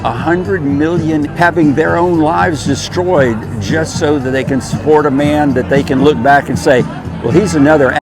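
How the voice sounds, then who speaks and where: A man's voice: fairly high pitch, normal speed, poor audio. Older male protester, blunt and indignant, outdoor street interview with music behind. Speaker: rally protester.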